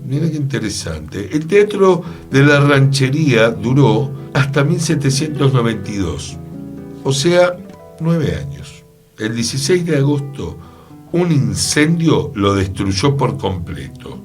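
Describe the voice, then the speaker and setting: A man's voice: medium pitch, big pitch swings, measured pace, faint music behind. A man with a Buenos Aires Argentine accent hosting a radio talk, explaining things in a reflective, conversational way. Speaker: radio show host. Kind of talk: conversational talk on theatre history. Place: radio programme, guitar music playing behind the voice.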